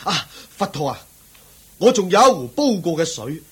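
Radio drama: excitable, pious poor husband, highish husky voice, deferential manner, Hong Kong Cantonese. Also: male voice, normal speed, full character voice. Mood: reverent, eager. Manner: deferential, excitable, chuckling and sighing. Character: poor, humble, eager devout village tea-giver and husband.

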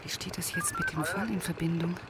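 An actress playing a reflective, probing police inspector in a radio crime drama, controlled and thoughtful. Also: female voice, fairly low pitch, huge pitch swings, fairly quick, a loud crowd. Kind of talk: radio crime drama, first-person narration and dialogue.

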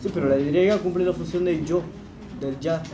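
A man's voice: on the high side, steady tone, normal speed, noisy background. A male student, chatting casually in class and pressing questions. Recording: distant roomy classroom recording.

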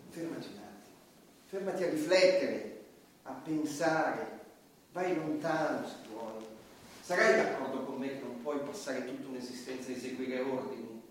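A man's voice: mid-range voice, normal speed, natural voice. Guy acting as fiery rebel comedian on trial, preachy n emphatic, stage play recorded from far off, bit of hiss. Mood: defiant, challenging. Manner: emphatic, repetitive, preaching against hypocrisy and power. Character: defiant, impassioned male satirical comedian on trial. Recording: echoey distant stage recording, faint noise.

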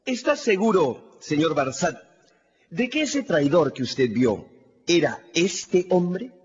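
High-pitched, shrewd male courtroom lawyer. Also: very animated, slow pace, darkish tone, slightly rough, lo-fi sound, exaggerated voice. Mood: sly, probing. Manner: pointed, mock-polite questioning. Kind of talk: radio drama acting.

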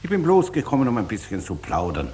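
A man's voice: medium pitch, huge pitch swings, fast. A man playing a stubborn, money-hungry farmer in a 1950s radio play, plain-spoken, hesitant and defensive. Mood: evasive. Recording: noisy vintage 1950s radio recording.

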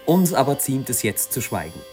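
A man's voice: medium pitch, big pitch swings, moderate pace, darkish tone, faint music behind, natural voice. A man playing a gleefully macabre imperial minister in a comic radio play, mocking and relishing each word theatrically. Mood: ceremonious.

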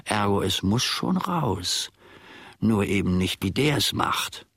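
Gravelly, husky, grumbling old servant. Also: male voice, fairly low pitch, sing-song, takes it slowly, a bit bright, no echo, clean studio sound, heavily acted. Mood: pondering, dismissive. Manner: ruminating, pedantic, self-satisfied. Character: grumbling, self-important old manservant musing to himself. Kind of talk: German radio play monologue.

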